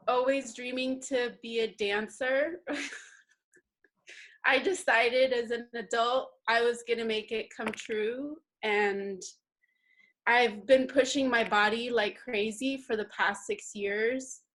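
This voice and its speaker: A woman's voice: on the high side, a bit bright. A woman from Guatemala telling a group her personal story in an earnest way. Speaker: workshop participant.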